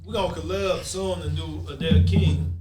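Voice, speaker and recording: A man co-hosting a casual group podcast, talking in a slangy, somewhat halting way. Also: on the high side, steady tone, over music. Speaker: podcast co-host.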